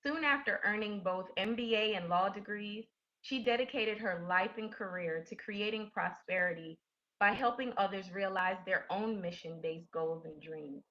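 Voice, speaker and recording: A woman reading a formal, scripted introduction as host of an online session, with measured phrasing. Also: medium pitch, fairly bright tone. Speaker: webinar host introducing a coach. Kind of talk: scripted introduction, read announcement. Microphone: online webinar audio. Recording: dull lo-fi web conference audio.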